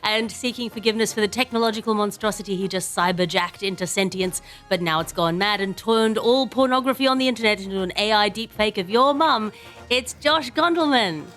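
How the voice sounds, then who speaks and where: A woman's voice: mid-range voice, fairly quick, a bit bright, soft background music. A woman hosting a comedy news podcast, wry and wordy, moving between mock-grandiose scripted lines and loose riffing. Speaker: podcast host.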